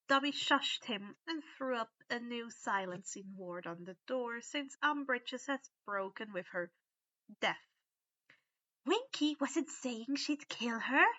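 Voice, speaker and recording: Bright, unhurried woman reading a story. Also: a little high, muffled recording. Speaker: story narrator. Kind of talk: amateur audiobook narration of fan fiction.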